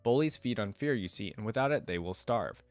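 A man voicing a calm, calculating male scientist who lectures persuasively in a storybook read-aloud. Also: on the low side, brisk, no echo, faint music behind. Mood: measured, persuasive.

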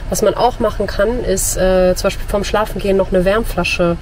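A woman's voice: mid-range voice, steady tone, moderate pace, a little mellow, slight background noise. A young woman giving casual, conversational advice inside a camper van.

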